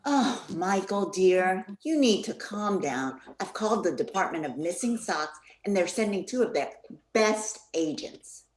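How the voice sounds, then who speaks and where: An older woman playing a practical, exasperated housewife in a comic radio play, expressive and placating. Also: medium pitch, a little slow, roomy sound. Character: exasperated, practical housewife soothing her fussy husband.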